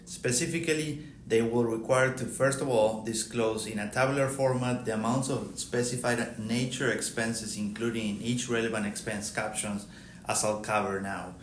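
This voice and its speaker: A man's voice: fairly low pitch, steady tone, normal speed. A middle-aged man with an Argentine accent, speaking as an expert podcast guest in a formal, methodical way. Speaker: podcast guest, accounting firm partner. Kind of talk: prepared technical explanation. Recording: roomy echo, faint background noise.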